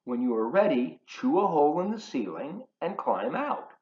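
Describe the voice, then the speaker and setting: A man's voice: a little high, very animated, moderate pace. A man reading a picture book aloud to young children as a volunteer, playfully addressing them directly in his living room. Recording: roomy, slightly dull home video sound.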